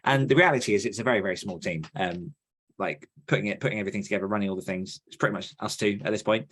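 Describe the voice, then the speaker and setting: A man with a fairly low voice talks casually as a podcast host. He is earnest and reflective, often qualifying what he says as he works through his thoughts. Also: rapid-fire, a bit bright, slight background noise. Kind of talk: casual conversational podcast chat.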